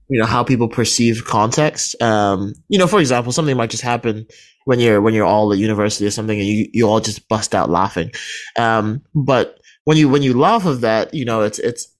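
A man speaks as a radio guest in casual, reflective discussion, haltingly repeating words as he works out his thoughts. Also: on the low side.

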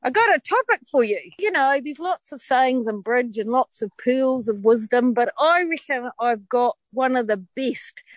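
A New Zealand woman with a high voice talks chattily over a video call from a paddock, sure of her advice. Her sound is close and dry, with no room around it. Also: lively intonation, normal speed, few pauses, no echo. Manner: chatty, confident advice-giving. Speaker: bridge tips contributor. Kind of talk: casual chat on a video call. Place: outdoors in a farm paddock.

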